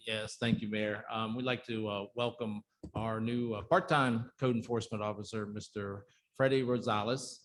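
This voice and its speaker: A man serving as police chief, giving halting, measured remarks with many fillers at a town council meeting. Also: fairly low pitch, little pitch movement, slight background noise, poor audio.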